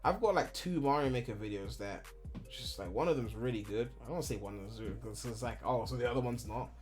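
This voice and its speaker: Young man from Ghana, home streamer, loose rambling chat, mid-pitched, light music underneath. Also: fast talker, darkish tone. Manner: rambling and candid, heavy on filler "like", swears freely. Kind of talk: casual live-stream chat answering viewers.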